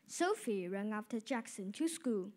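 A young performer narrating a school radio drama in a storybook manner. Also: female voice, medium pitch, lively intonation, measured pace, a little mellow.

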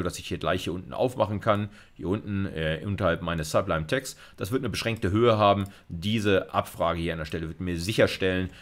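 Low-voiced middle-aged man lecturing. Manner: colloquial, thinking aloud while demonstrating. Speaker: university lecturer in computer science. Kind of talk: informal lecture narrating a live coding demo.

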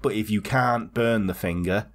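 A man's voice: lowish voice, moderate pace. A man co-hosting a casual true-crime podcast, telling stories in a rambling way, swearing and laughing as he goes. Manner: rambling storyteller, swears, laughs mid-sentence. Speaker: podcast co-host.

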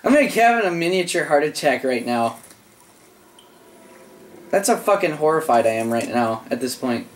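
A host playing a horror game, scared and swearing, with a voice that is wearing out from yelling. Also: mid-range voice, big pitch swings, normal speed, long pauses. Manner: frightened, swearing, bursts of yelling at enemies. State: voice giving out. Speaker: gaming channel host. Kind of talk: live let's-play commentary. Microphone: gaming mic.

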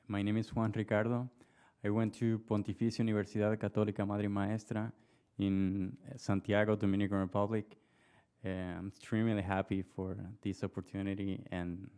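A man introduces himself formally at a meeting. His voice is low and mellow, with very little rise and fall, and smooth in tone. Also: flat delivery, moderate pace. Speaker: medical resident.

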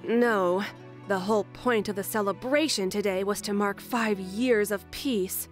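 A woman voicing a composed, regal squirrel queen in a comic dub, measured and diplomatic. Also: mid-range voice, faint music behind, lightly acted.

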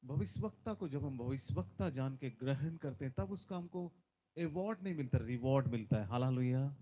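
A man's voice: mid-range voice, moderate pace, a little hiss or hum. Fervent man preaching on a stage. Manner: fervent, repeats hallelujah, loud emphatic bursts. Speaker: visiting preacher. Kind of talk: Hindi sermon with prayer. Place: large decorated conference stage. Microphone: handheld microphone.

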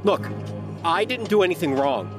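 A man's voice: sits high, animated, normal speed, music in the background. A man playing a defensive, irritated working man in an audio drama.

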